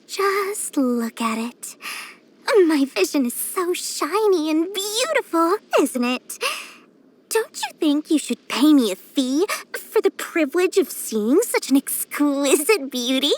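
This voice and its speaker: A pint-sized, money-hungry female merchant from a video game. Her voice sits very high and swings up and down with theatrical flair. She boasts and wheedles like a born schemer, smugly cheerful in every sales pitch. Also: very animated, slow, full character voice. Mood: gleeful, boastful. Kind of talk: video game character voice lines.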